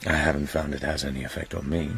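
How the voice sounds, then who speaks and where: An actor playing a suave, probing British secret agent in a radio drama, husky and gravelly, with a cool, dry, flirtatious manner. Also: male voice, rumbling low, fairly even intonation, quick pace, fairly bright tone, faint music behind, exaggerated voice.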